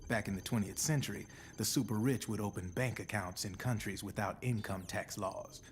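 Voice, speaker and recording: Man acting a low-voiced cynical gun launderer. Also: normal speed, natural voice. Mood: explanatory. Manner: folksy, slangy, knowing. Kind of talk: video game cutscene exposition. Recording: noisy game audio captured on stream.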